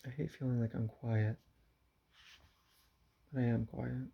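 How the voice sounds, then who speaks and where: A young man talking quietly into his camera at home in a confessional vlog, halting and subdued, with frequent sighs. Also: medium pitch, steady tone, gravelly, slightly rough. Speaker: vlogger. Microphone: camera mic. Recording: dull lo-fi camera audio.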